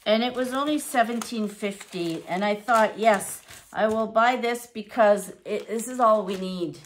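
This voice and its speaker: A woman's voice: fairly high pitch, a little hiss or hum. Chatty woman reading grocery prices. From Canada. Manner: chatty, reads prices aloud, thinks out loud. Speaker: vlogger. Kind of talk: casual grocery haul chat. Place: home kitchen. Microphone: fixed camera at table.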